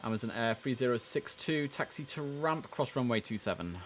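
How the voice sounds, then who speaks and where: A man's voice: medium pitch, expressive, fairly quick. A man live streaming as an air traffic controller, mixing chatty muttered asides with clipped, formal radio-style instructions.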